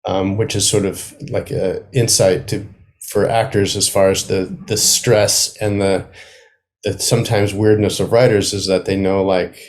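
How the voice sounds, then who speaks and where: A man's voice: low-pitched, level tone, measured pace. A middle-aged man speaking as a guest in a casual interview, searching for his words with self-deprecating humor. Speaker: guest, playwright.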